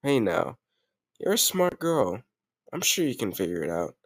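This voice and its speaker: A man's voice: fairly low pitch, big pitch swings, normal speed, breathy edge, no room sound, clean studio sound, full character voice. A man playing a doting but ruthless mafia boss in an intimate audio roleplay, commanding yet affectionate, using gangster slang. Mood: tender, teasing.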